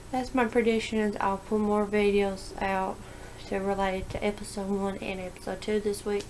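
A young woman chats into a webcam from a small bedroom, her voice flat and even in pitch at a middle height. She rambles along, stopping and restarting her sentences. A faint hiss sits under her voice. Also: rough recording. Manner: rambling, halting, frequent restarts and fillers. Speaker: vlogger. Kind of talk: casual webcam vlog, TV episode predictions. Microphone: webcam at desk.

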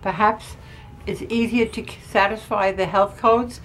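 An older woman interviewed in a small ship cabin, answering in a halting way, hunting for words and restarting. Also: mid-range voice, steady tone, a bit bright, a little hiss or hum, low-quality recording. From New York area. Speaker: interviewee, cruise passenger. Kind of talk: casual chat. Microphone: handheld camera close up.

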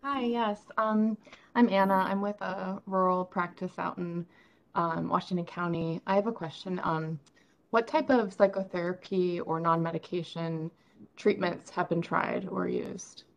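A woman's voice: fairly low pitch, steady tone, unhurried, vocal fry. A woman clinician asking a formal question from an office during an online meeting. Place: indoor office on a video call.

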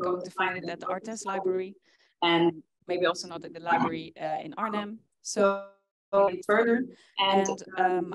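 A woman's voice: fairly low pitch, poor audio. Earnest young woman giving a talk. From Netherlands. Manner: earnest, with frequent fillers. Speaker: conference speaker. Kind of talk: presentation talk. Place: conference hall with audience.

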